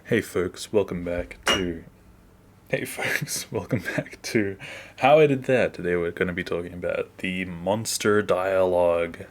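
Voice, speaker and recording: A man's voice: deep voice, a little slow. A man hosting a casual behind-the-scenes animation commentary in an informal way.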